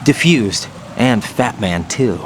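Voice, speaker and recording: A man's voice: lowish voice, expressive, takes it slowly, slight background noise, poor audio. A man playing an earnest young special-ops rookie in a video game, serious and questioning in a military way. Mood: focused, earnest. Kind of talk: dramatic video game voice acting.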